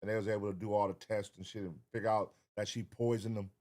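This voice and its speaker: A man's voice: on the low side, quick pace. An American man hosting a reaction show, giving casual, profane commentary in a blunt, slangy way. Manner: blunt, slangy, animated quoting of imagined dialogue. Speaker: reaction video host.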